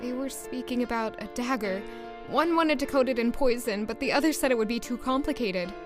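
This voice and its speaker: An actress playing an earnest, nervous young palace maid who narrates and speaks in a first-person audio drama. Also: female voice, on the high side, fairly quick, music in the background, natural voice. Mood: nervous, apologetic.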